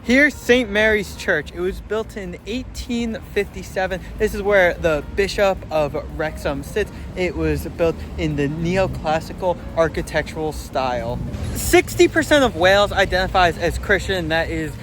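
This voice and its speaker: A young man talks his way through a walking travel vlog, chatty and a touch higher-pitched, pausing on fillers as he thinks aloud. Clear street noise and passing traffic sit under his voice, recorded outdoors on a handheld camera mic. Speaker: travel vlogger.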